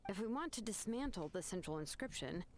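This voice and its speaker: A woman's voice: medium pitch, lively intonation, brisk, a little hiss or hum, lightly acted. A woman playing a laid-back, knowledgeable young smith in a video game, explaining things in a composed, practical way.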